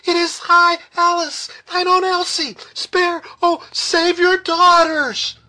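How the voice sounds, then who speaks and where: Man putting on a high, piercing young woman's cry. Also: level tone, slow, sharp tone, exaggerated voice. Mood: desperate pleading. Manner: piercing, pleading cries. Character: frightened young daughter pleading piercingly to her father. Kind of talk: dramatic audiobook character reading.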